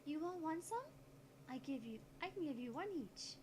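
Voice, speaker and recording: A woman's voice: sits high, animated, moderate pace, a little mellow. A woman voicing a sweet, ditzy teenage girl in a visual novel game, with a childlike, artless manner and some background noise. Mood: cheerful, curious.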